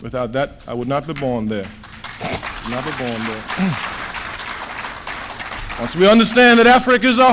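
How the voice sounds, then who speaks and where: Fiery high-voiced man, lo-fi archive. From Trinidad. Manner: emphatic, repeats phrases, swings from loud to low. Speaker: political speaker. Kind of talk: fiery political speech. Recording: dull lo-fi archive recording.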